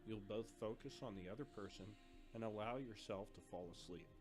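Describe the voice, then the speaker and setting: Pragmatic male academy figure acted by a man, direct and instructional, lowish pitch, music behind the voice. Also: a little fast, lightly acted. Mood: instructive. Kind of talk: audio drama acting.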